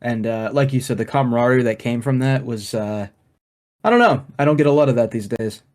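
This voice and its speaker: A man co-hosting a casual podcast, thoughtful, clearing his throat as he loses his voice. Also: medium pitch, a little fast, a little mellow. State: losing his voice. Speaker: podcast co-host.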